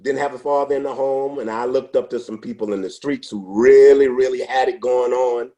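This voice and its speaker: A man in his sixties, a podcast interview guest, telling his life story in an unhurried, reflective way with frequent restarts. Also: mid-range voice, steady tone, warm tone. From United States. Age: 60s. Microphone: podcast microphone.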